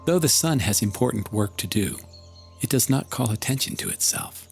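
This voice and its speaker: A man giving a contemplative scripted reading of prose, solemn and measured, with long pauses. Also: lowish voice, big pitch swings, vocal fry. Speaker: author reading own book. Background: music.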